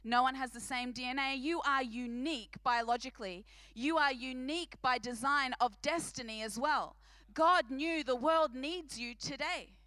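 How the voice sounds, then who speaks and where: Forceful high-voiced Australian woman preacher. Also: moderate pace, no echo. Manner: emphatic, repeating phrases for effect; big, projecting voice. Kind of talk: sermon to a church congregation. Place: church service.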